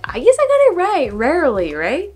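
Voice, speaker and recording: A woman's voice: high-pitched, wildly swooping pitch, a little mellow. Young french woman vlogging, french accent, repeats english words over and over trying to get them right, laughs a lot, bit of music behind. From France. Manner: thinks aloud, repeats words slowly to try pronunciations, laughs often. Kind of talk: casual reaction vlog.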